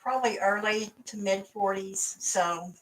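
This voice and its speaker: Slow rambly fifties mom, laughs a lot, sounds kinda far from the mic. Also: female voice, mid-range voice, a bit bright. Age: 50s. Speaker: mother and co-host. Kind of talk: casual family chat.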